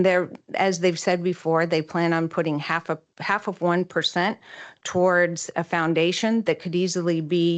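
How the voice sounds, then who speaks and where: A middle-aged woman giving a prepared public comment to a city council, measured and citing figures earnestly. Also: fairly low pitch.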